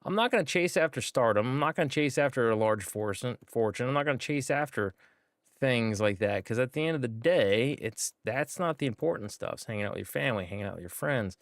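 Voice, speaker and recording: A man's voice: medium pitch, animated, fairly quick, no echo, studio quality. A man giving laid-back, rambling commentary while livestreaming a game, with many fillers. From United States. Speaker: gaming streamer. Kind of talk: casual gameplay commentary.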